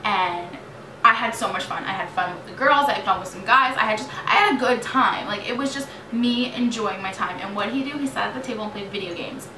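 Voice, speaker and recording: A young American woman in her late teens telling a story in a casual, animated, chatty vlog style. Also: mid-range voice, fairly quick, crowd noise. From New York State, United States. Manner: animated, chatty, dramatizes remembered exchanges. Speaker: vlogger. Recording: roomy echo, distant mic, clearly noisy.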